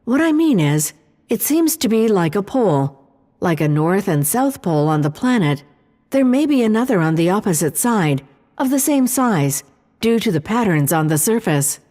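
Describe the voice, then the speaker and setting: A mellow female artificial intelligence speaks inside a long, hall-like reverb. She is calm and precise, laying out analysis in measured, formal sentences, as in a sci-fi audio drama. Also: medium pitch. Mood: measured, analytical.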